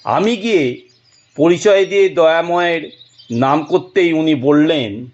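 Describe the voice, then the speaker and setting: A man narrating a detective audio drama in an expressive, dramatic storytelling manner with deliberate pauses. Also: on the high side, dull audio.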